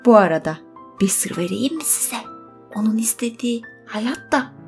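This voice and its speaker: A woman narrating a scripted children's bedtime story expressively, with dramatic pauses. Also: on the high side, lively intonation, soft background music.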